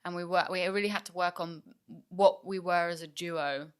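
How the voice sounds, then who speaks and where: Young english woman chatting, low voice but bright and clear, lots of ums. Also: steady tone, normal speed. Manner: chatty, many fillers and restarts. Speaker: musician guest. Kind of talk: casual interview chat.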